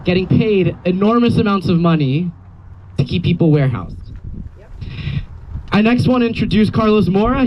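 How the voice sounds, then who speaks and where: A high-pitched male rally organizer speaks earnestly and formally into a microphone. The audio is dull, with faint traffic noise behind him. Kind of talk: public rally speech. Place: outdoor rally near a road. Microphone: handed-around PA microphone.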